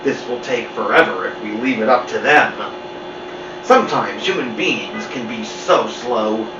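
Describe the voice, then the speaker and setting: A man voicing a sardonic, superior cat in a children's storybook, calm and condescending. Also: mid-range voice, measured pace, close to an everyday voice. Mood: calm scorn. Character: sardonic, superior male house cat, scornful schemer. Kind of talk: children's storybook read-aloud. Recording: muffled, roomy, distant mic with noise.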